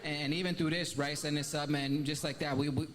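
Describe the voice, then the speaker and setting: A man's voice: fairly high pitch, level tone, a little fast. A man hosting a live event interview, upbeat and casual, ending many phrases with "man" and "right". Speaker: host.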